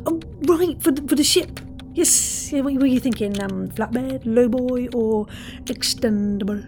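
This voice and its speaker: A woman playing a polite, sceptical crewmate and narrator in a scripted audio drama, speaking in a measured way. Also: a little high, a little mellow. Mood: mildly puzzled. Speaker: podcast host. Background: music.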